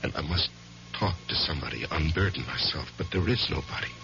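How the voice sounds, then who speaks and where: A troubled, haunted lawyer in his forties speaks haltingly in a low voice with lively swings of pitch. It is old mystery radio, dull in sound, with music behind. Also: vocal fry, full character voice. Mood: troubled, confessional. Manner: halting, uneasy, confessional. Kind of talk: 1970s radio mystery acting. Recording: dull lo-fi 1970s radio recording.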